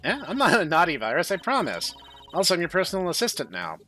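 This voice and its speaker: A man's voice: high-pitched, wildly swooping pitch, fast talker, sharp tone, slight background noise, pitched above the performer's own voice, exaggerated voice. A man voicing a chipper, playful female computer virus who works as an eager, supportive assistant in a visual novel game.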